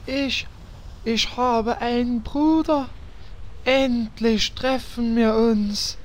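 A man's voice: very high voice, fairly even intonation, drawn-out words, full character voice. An actor playing a naive, eager, simple-minded male hero in a parody fantasy audio drama. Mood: naive, touched.

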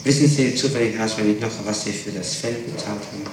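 Lowish-voiced male interrogator, heavy echo. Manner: halting, methodical, insistent questioning. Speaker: prosecutor questioning a witness. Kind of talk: formal interrogation. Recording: echoey, distant, noisy 1960s archive tape.

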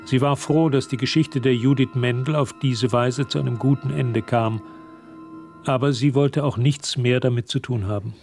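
A man's voice: medium pitch, fairly even intonation, normal speed, darkish tone. A man narrating a radio drama in a scripted literary style. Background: music.

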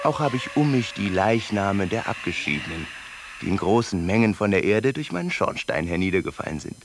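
An actor playing a whimsical, smug fantasist on the run, declaiming theatrically in a radio play. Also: male voice, lowish voice, big pitch swings, a little fast, noisy background. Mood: smug, musing. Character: whimsical, smug fugitive fantasist man, oddly carefree. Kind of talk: theatrical avant-garde radio play monologue. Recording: loud music behind the voice.

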